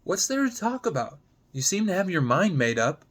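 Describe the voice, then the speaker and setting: A man's voice: sits high, sing-song, moderate pace, a bit bright, pitched above the performer's own voice, heavily acted. A man plays a young woman in a relationship drama, hurt and weary of her lover. She speaks in a curt, guarded way, keeping her distance. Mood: exasperated, challenging. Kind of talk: dramatic screenplay reading.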